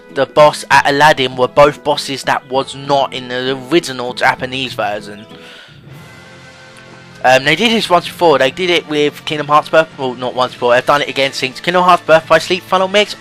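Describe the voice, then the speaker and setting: A man's voice: a little high, fairly bright tone. A young man giving casual, rambling and hesitant let's play commentary over game music. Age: young adult.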